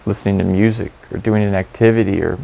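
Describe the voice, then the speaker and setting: Gravelly, low-voiced man guiding meditation. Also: steady tone, quick pace. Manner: informal, candid, gently instructive. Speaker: meditation guide. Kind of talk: guided meditation.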